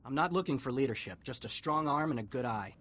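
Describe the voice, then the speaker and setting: An urgent field lieutenant from a video game. He speaks quickly in a middling pitch, pressed and soldierly. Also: lively intonation, a bit bright. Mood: commanding. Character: urgent, harried male army lieutenant in the field. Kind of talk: video game dialogue acting.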